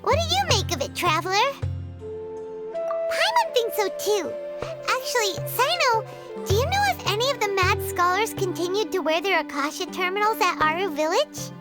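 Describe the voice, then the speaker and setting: A tiny, excitable fairy sidekick from a video game. The voice is squeaky and extremely high, with animated swings in pitch and emphatic, childlike delivery. Prominent music plays behind it. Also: female voice, moderate pace. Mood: curious. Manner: refers to herself by name, emphatic exclamations. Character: small excitable childlike female fairy companion, cheerful and indignant. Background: background music.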